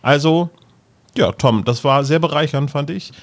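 A man's voice: a little high, normal speed. A man talking casually as a podcast co-host, discussing and commenting.

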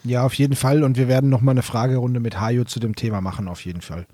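A man's voice: mid-range voice, steady tone, fast, dark tone, no echo. A man co-hosting a podcast, talking in a casual, conversational way. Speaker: podcast co-host.

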